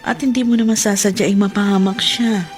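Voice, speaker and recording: A woman playing a gentle, soothing mother in a radio drama, with a Filipino accent. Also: mid-range voice, fairly even intonation, fairly quick, faint music behind, close to an everyday voice. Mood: consoling. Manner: soothing, reassuring.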